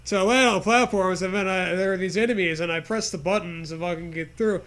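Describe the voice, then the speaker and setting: A man's voice: sits high, fairly quick, a bit bright. A man co-hosting with casual, sarcastic commentary, crude jokes and mock-dramatic reactions. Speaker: co-host reacting to a read story.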